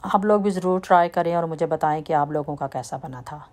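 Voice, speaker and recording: A woman with a slight lilt, chatting in a relaxed way as she walks through a home baking recipe step by step. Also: low voice, fairly quick. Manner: relaxed, chatty, trails off softly at sentence ends. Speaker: home cooking vlogger. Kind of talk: casual step-by-step baking talk.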